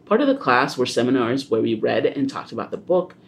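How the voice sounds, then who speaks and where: A young man from Haiti hosting a student podcast, talking in a relaxed way with small laughs and fillers. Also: medium pitch, fairly quick, gravelly, a little hiss or hum. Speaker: podcast host.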